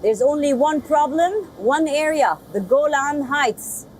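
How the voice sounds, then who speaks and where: A woman news anchor reading studio introductions in a crisp, measured delivery. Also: high-pitched, darkish tone, a little hiss or hum.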